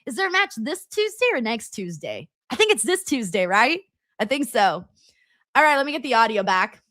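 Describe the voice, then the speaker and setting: Woman host, high bright voice, loose chatty manner, laughs often, dry close mic. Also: big pitch swings, normal speed, no echo. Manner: talks straight to her viewers. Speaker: live-stream host reading chat. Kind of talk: casual watchalong chat.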